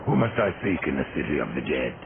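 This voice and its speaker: Extremely deep, gravelly, grim horseman. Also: very animated, quick pace, phone-quality sound, heavy background noise, full character voice. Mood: sardonic. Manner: curt, sardonic, menacing. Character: grim, defiant male horseman of death. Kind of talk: dramatic video game cutscene acting.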